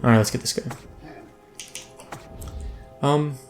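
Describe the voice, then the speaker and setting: A man's voice: medium pitch, fairly even intonation, takes it slowly, soft background music. Man rambling through gameplay commentary. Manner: rambling, self-interrupting, chatty. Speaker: let's play host.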